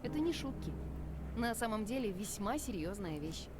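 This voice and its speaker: An actress voicing a mysterious, teasing yet earnest fortune-teller in a visual novel game. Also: female voice, mid-range voice, very animated, a little fast, background noise, natural voice.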